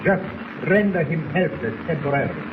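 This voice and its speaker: A man's voice: fairly high pitch, animated, brisk, lightly acted. Through heavy noise on a muffled 1940s radio recording comes a male actor as an arrogant villainous doctor. He gives orders precisely and gloats with cold menace. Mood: commanding. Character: arrogant, menacing foreign agent doctor who stole a submarine.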